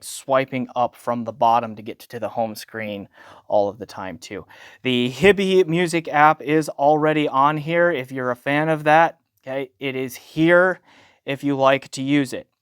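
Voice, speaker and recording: Clear, smooth-voiced man reviewing gear casually. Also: mid-range voice, no room sound, clean studio sound. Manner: conversational, punctuates points with checking tags. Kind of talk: casual unscripted product review.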